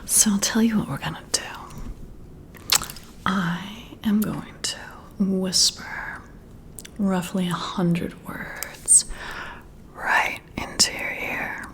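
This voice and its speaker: Woman playing a slow, whispering flirty boss. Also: mid-range voice, fairly even intonation, a bit bright. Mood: confiding, controlled. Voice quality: breathy whisper. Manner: teasing, coy, deliberate, drawn-out phrasing. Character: flirty, confident female boss and former psychiatrist interviewing. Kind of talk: whispered ASMR roleplay.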